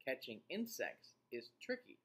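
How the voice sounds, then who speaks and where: A middle-aged man reading a picture book aloud to young children, expressive and deliberate, pausing to put questions to his listeners. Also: mid-range voice.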